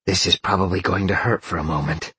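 Guy doing a blunt confident fantasy rebel, low husky voice, kinda wry. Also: steady tone, brisk, pushed and bright, no echo, exaggerated voice. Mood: matter-of-fact. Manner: blunt, wry, matter-of-fact.

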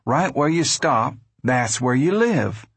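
A man's voice: medium pitch, expressive, unhurried, fairly bright tone, breathy edge, no echo, exaggerated voice. Man playing an eager, folksy farm boy. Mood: excited, eager. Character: excitable, girl-crazy young Southern farm boy.

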